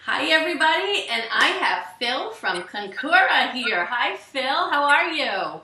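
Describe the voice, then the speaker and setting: A woman's voice: high-pitched, very animated, unhurried, pushed and bright, reverberant, far from the mic, low-quality recording. A woman hosting a fitness show, bubbly and gushing as she introduces and questions her guest. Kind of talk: enthusiastic podcast hosting.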